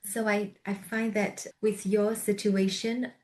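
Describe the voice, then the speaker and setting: A woman hosting a podcast interview over a video call, speaking gently and softly with occasional nervous laughs. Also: medium pitch, flat delivery, slow, rough recording. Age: adult with a young son. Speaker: podcast host. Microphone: video call recording.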